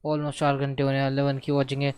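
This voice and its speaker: Very fast-talking Indian man streaming a game. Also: medium pitch, completely flat delivery, a little mellow, clean tone, no echo, clean studio sound. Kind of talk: casual Let's Play chat, mixing Hindi and English. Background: game music.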